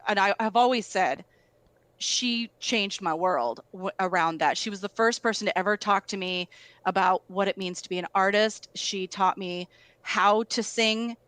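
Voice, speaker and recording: A woman's voice: medium pitch, fairly bright tone, no room sound. A middle-aged woman, a guest musician, telling stories casually and filling her speech with "like". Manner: storytelling, filler-heavy, lots of "like". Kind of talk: casual conversation.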